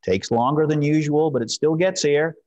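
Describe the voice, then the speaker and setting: A man in his fifties preaching informally as a pastor in a measured, gently encouraging way. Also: fairly high pitch, highs cut off. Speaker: pastor leading a church service. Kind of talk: informal sermon and prayer. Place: church sanctuary, at a wooden pulpit.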